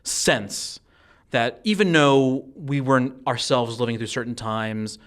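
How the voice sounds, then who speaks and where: A man of middle pitch conducts an interview in a conversational style. He is wordy and meanders, circling his way toward each question. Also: unhurried, a bit bright.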